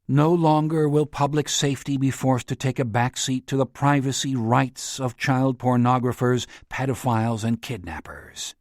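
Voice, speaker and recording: A velvet-voiced tycoon, read by a male narrator, holds a crowd with a sweeping, oratorical speech. His voice sits at a middling pitch and sounds dry and close, with no room around it. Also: darkish tone, no room sound, lightly acted.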